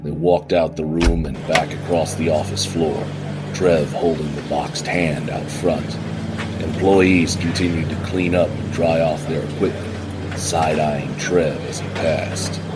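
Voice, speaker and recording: Very deep-voiced male noir narrator. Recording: music under the voice.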